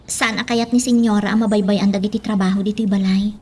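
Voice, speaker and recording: A woman's voice: medium pitch, fairly even intonation, brisk, darkish tone, a little hiss or hum, natural voice. An actress playing a dutiful, anxious young woman of a household in a radio drama.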